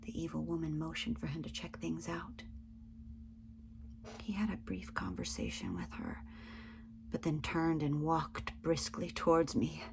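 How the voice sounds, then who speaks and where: A woman's voice: sits low, sing-song, unhurried, gravelly, long pauses. Woman reading a suspenseful story, noisy recording. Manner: suspenseful, theatrical, swinging from hushed to forceful. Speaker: reader of a written memoir. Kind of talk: dramatic first-person story reading.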